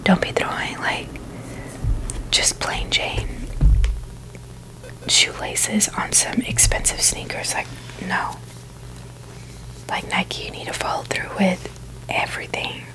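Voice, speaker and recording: A woman's voice: takes it slowly, pauses often, poor audio. A woman giving a casual, chatty ASMR product review in a whisper, informal and opinionated as she rambles along. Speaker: ASMR video creator.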